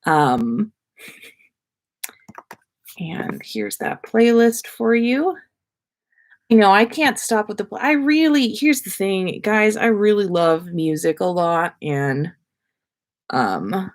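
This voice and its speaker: A woman's voice: mid-range voice, sing-song, slow pace, pauses often, fairly bright tone. A woman hosting a casual livestream, chatting to viewers in an effusive, rambling, playfully self-aware way. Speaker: livestream host.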